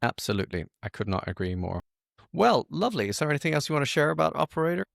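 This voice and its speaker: A man's voice: on the high side, big pitch swings, racing, vocal fry, no echo, clean studio sound. A man hosting a casual interview and walkthrough, playful and self-deprecating, often repeating words as he goes. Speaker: podcast host and support consultant.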